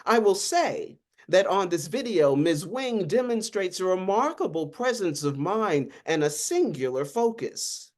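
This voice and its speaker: A woman's voice: on the low side, very animated, unhurried, few pauses, darkish tone, pitched above the performer's own voice. A woman voicing a curt, unapologetic male government official who speaks formally and insistently. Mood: firm, unapologetic.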